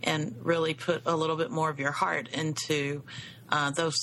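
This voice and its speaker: A woman co-hosting a podcast, her voice scratchy from seasonal allergies. She speaks in an earnest, thoughtful way, and her tone comes out bright and sharp. A faint hiss of noise sits behind her. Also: sits low, little pitch movement, normal speed. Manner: earnest, reflective, with frequent ums. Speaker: podcast co-host. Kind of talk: casual podcast chat.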